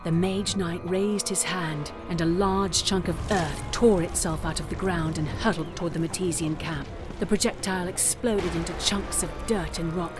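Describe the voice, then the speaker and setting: Low-voiced woman storyteller over music. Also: fairly even intonation, normal speed. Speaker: narrator. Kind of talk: scripted dramatic storytelling narration. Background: dramatic background music.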